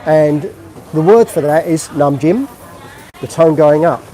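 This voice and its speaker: A man's voice: fairly high pitch, a little slow, mellow, some chatter behind, poor audio. A middle-aged man from England, chatting casually on a live-stream walking tour of a street market. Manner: chatty, friendly, narrating while walking. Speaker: vlogger.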